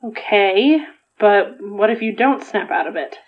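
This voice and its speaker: A woman's voice: mid-range voice, unhurried, lo-fi sound, natural voice. A woman playing a supportive, straight-talking friend in a horror audio drama, informal and frank. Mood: concerned, supportive.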